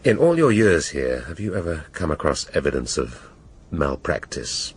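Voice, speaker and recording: An English actor playing a middle-aged police inspector in a radio drama, shrewd and dry, speaking deliberately with authority. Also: male voice, rumbling low, sing-song, husky, exaggerated voice. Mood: probing, formal. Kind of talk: naturalistic radio drama acting.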